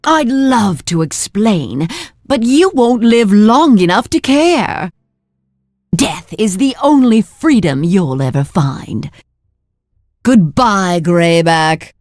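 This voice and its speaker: A woman's voice: mid-range voice, expressive, slow pace, darkish tone, dry recording, clean studio sound. An actress playing a cruel, haughty sorceress villain in a video game, mocking and theatrical, drawing out her words. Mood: gloating menace.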